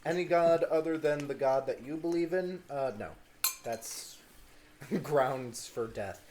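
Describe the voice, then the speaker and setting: A man's voice: fairly high pitch, slow pace, mellow, slight background noise. A man co-hosting a casual podcast, joking and rambling through history talk at a table indoors. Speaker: podcast co-host. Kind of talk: casual banter.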